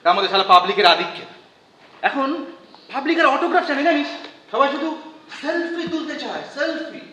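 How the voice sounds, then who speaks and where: A man's voice: very high-pitched, lively intonation, a little fast. A man acting a bitter, scornful performer weary of fans in a stage play. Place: theatre stage. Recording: dull, noisy, echoey stage recording.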